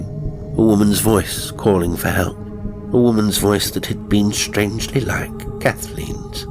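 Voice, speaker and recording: A man reading a horror story as an audiobook narrator, in measured, suspenseful phrases that often fall to a hush. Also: low voice. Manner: measured, suspenseful, breaking into short weighted phrases. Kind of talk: scripted dramatic horror narration. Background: faint music.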